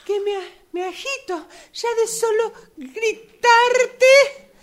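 Lady playing jittery argentine woman who gets winded, high voice, slow hesitant talk, radio play. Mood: nervous, agitated. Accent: Rioplatense Argentine. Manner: hesitant, agitated. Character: nervous, easily winded woman refusing to help. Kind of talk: amateur radio drama exercise.

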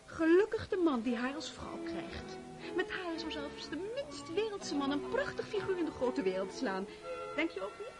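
An aristocratic hostess who gushes and meddles. Her voice is high and swoops widely, effusive and patronising as she steers people about. It is radio drama, with music behind. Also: very animated, normal speed. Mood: coaxing. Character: gushing aristocratic society hostess, meddling and worldly.

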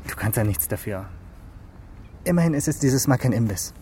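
An actor playing an easygoing, impatient young ex-cop in a radio drama, talking casually with dry humour. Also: male voice, mid-range voice, expressive, normal speed, warm tone, a little hiss or hum, natural voice. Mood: wry, resigned. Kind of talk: naturalistic radio drama dialogue.

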